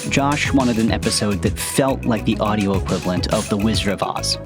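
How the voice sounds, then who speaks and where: A man's voice: on the low side, a little fast. A man hosting a podcast, giving a conversational scripted introduction. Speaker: podcast host and writer. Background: music with guitar.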